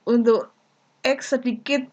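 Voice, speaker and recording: A woman giving a math lesson, explaining step by step in short, deliberate phrases. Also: fairly high pitch, steady tone, a little mellow. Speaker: math teacher. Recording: dull lo-fi recording.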